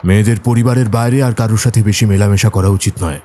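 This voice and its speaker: Dark-toned, firm man, played by an actor. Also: fairly low pitch, fairly even intonation, fast talker, close to an everyday voice. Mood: admonishing. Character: controlling men quoted in a woman's recollection, admonishing. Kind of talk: dramatised audio story acting.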